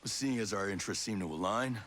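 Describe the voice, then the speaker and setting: An actor playing a gruff, wry soldier in a video game, speaking in a dry, blunt, husky voice. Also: male voice, fairly low pitch, fast, a bit bright, close to an everyday voice. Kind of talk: video game cutscene acting.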